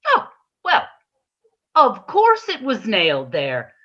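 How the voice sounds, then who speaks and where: A woman's voice: a little high, wildly swooping pitch, slow, a bit bright, heavily acted. A woman playing an evasive, shifty pet-shop keeper in an amateur radio comedy, stalling and blithely denying everything. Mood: blithely insistent. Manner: hesitant, stalling, blithely insistent. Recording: dull, band-limited recording.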